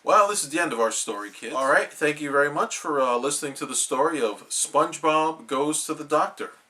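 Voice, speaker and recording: A man's voice: a little high, animated, brisk, bright, forceful tone. A man reading a children's storybook aloud as a bedtime storyteller.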